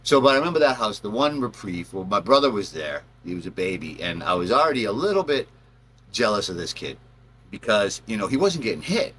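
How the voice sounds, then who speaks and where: A man with a fairly low voice and a bright, forceful edge. He tells personal stories in a casual, rambling style, slipping into animated retellings of what people said. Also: brisk, breathy edge. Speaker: storyteller, channel host.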